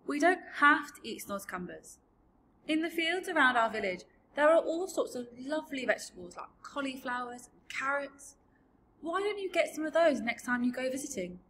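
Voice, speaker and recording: A woman's voice: high-pitched, very animated, moderate pace, fairly bright tone, slight background noise, voice pushed up. A woman voicing a polite, curious, plucky little girl in a storybook. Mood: reasoning. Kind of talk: storybook character voice.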